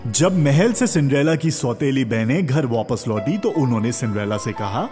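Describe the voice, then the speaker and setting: A man's voice: medium pitch, animated, darkish tone. A man narrating a scripted fairy tale for children as a storyteller. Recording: long reverb, music underneath.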